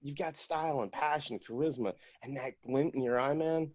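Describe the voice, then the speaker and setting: Man reading smug balding 50s showrunner, mocking, bullying, mid pitch, muffled sound. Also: normal speed, natural voice. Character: smug balding male showrunner in his fifties. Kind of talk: table read.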